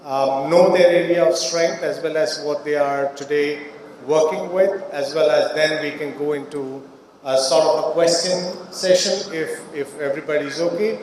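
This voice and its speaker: A man's voice: fairly high pitch, normal speed, darkish tone. A man moderating a conference panel, opening with hesitant remarks full of "uh" fillers. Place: large conference hall. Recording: distant, very echoey hall with faint noise.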